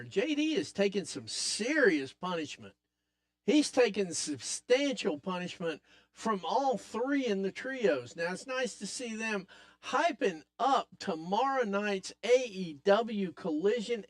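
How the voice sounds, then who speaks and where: Raspy-voiced man calling wrestling live. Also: high voice. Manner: excitable, hypes up the action, talks to chat. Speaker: podcast host. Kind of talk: live wrestling watch-along commentary.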